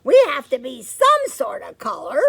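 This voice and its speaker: A woman voicing a sensible male pig who gets easily upset, in a high shrill voice. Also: wildly swooping pitch, normal speed, vocal fry, a bit bright, breathy edge, full character voice. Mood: shrill dismay.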